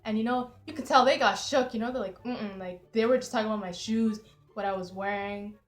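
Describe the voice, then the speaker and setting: A woman's voice: fairly high pitch, brisk. A young woman from Canada, a native Somali speaker, telling anecdotes casually with plenty of slang. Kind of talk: casual chat. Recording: roomy echo, music underneath. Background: background music.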